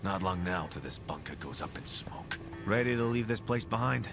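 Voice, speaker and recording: An actor playing a weary, guilt-ridden soldier companion in a video game, conversational and brooding, with sighs. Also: male voice, deep voice, lively intonation, moderate pace, creaky, over music. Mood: determined, grim. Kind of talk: video game character dialogue.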